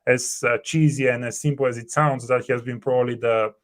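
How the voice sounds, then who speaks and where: Brief: man in his thirties, Bulgarian native speaker, earnest guest on a home video call, halting and filler-heavy. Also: mid-range voice, fairly even intonation. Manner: earnest, thinking aloud with many fillers and restarts. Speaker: startup co-founder, guest. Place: home room.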